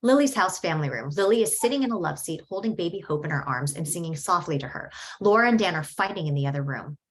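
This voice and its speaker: Low-voiced woman reading stage directions. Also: fast talker. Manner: steady, descriptive. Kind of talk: table read of a screenplay.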